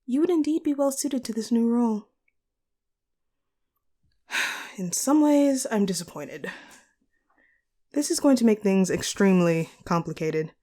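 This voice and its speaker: Woman playing a mellow, formal supervillain boss lady. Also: mid-range voice, lightly acted. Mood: impressed, then mildly exasperated, businesslike. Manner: formal, measured, articulate phrasing. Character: composed, courteous female supervillain boss, pragmatic and secretly kind. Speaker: audio roleplay performer. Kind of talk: scripted audio roleplay monologue addressed to the listener.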